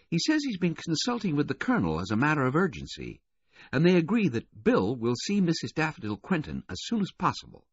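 A man voicing a prim, brisk and cheerful older woman relaying messages in an audiobook. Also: a little high, slightly rough, dry recording, lo-fi sound, pitched above the performer's own voice, full character voice.

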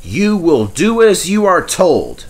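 A man's voice: high-pitched, huge pitch swings, slow pace, voice pushed up, full character voice. A man voicing a stern male manager in a storybook, severe and emphatic as he scolds. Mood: stern, scolding.

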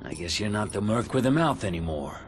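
An actor playing a gruff, weary, lone mutant warrior in a video game cutscene, terse and brooding, with a gravelly growl. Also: male voice, lowish voice, lively intonation, fairly quick, a little hiss or hum, close to an everyday voice. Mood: grim, taunting. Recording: loud music behind the voice.

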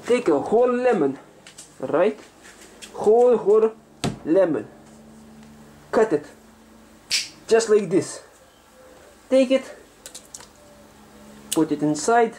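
A man's voice: high-pitched, lively intonation, slow pace, pauses often, mellow. Man acting as cheeky young Slavic vlogger: Russian accent, broken English, rambling and repetitive, noisy handheld kitchen recording. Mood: mock-serious. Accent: put-on Russian. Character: cheeky, foul-mouthed young Slavic man, comedic persona. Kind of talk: casual comedic vlog. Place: small home kitchen indoors. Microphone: handheld camera at arm's length. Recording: noisy handheld camera with clicks.

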